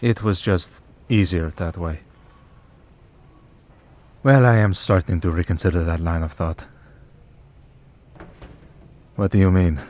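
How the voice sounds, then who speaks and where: A man playing a weary, devout and gentle vampire hunter priest in an ASMR roleplay, speaking in a measured, solemn way with pauses. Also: low-pitched, lightly acted. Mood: wry, warm. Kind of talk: ASMR roleplay audio drama.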